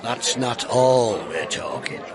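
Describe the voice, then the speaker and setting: An actor playing a wry, bookish, world-weary detective inspector in a radio drama, with a dry, pompous turn of phrase. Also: male voice, medium pitch, expressive, slow pace, crowd noise. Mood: dry, lofty. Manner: dry, pompous, quote-laden turns of phrase.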